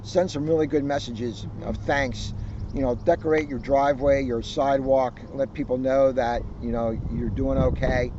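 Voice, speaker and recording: A man's voice: medium pitch, fairly even intonation, normal speed, dark tone. A man with an American accent, a police officer reading a children's storybook aloud and chatting informally outdoors. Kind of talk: storybook read-aloud and informal community talk. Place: outdoors by a street. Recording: noisy, slightly muffled outdoor recording.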